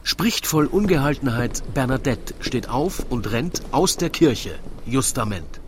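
A man narrating a comic radio play in rhyme, with wry, deliberate storytelling. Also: mid-range voice, animated, slight background noise, close to an everyday voice.

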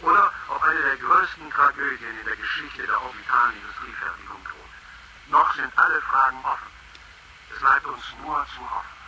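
A man's voice: mid-range voice, normal speed, very noisy, natural voice. A man playing a sensational financial radio reporter in a science fiction radio play, with urgent, rhetorical delivery. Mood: sensational, suspenseful. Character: dramatic male financial news radio reporter.

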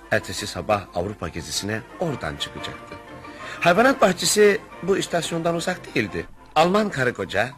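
A male radio drama storyteller speaks in a measured, literary way. His mid-pitched voice is bright and moves widely in melody. Faint music plays behind. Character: male narrator recalling his friend Ivan's visit. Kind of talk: dramatic radio play narration.

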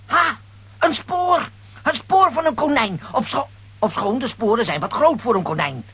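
A man as a boastful, bumbling hero from a comic-strip radio play. His voice is bright and piercing with a rough husk, and it leaps up and down as he blusters and stammers. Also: very high-pitched, expressive, normal speed, heavily acted. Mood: alarmed, excited.

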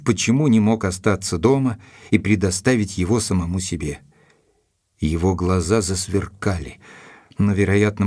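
An actor playing a composed gentleman who narrates a detective story as its loyal observer, in a measured storytelling manner. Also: fairly low pitch, close to an everyday voice. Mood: composed, reflective. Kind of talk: dramatised audiobook narration.

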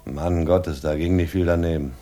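An actor playing a gruff police inspector with dry, understated humour in a crime radio drama. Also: male voice, deep voice, fairly even intonation, normal speed, mellow, faint music behind, natural voice. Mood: dry, businesslike. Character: gruff, dryly humorous male police inspector.

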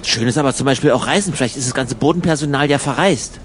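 A man's voice: medium pitch, quick pace, slight background noise, natural voice. A man playing a matter-of-fact traveller who explains things eagerly in a conversational radio play.

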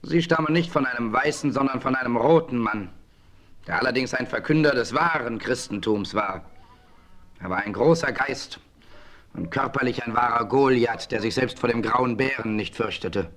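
An actor playing an outspoken settler farmer in a radio play, speaking earnestly and with passion. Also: male voice, medium pitch, normal speed, a crowd in the background, close to an everyday voice. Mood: impassioned. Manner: earnest, impassioned.

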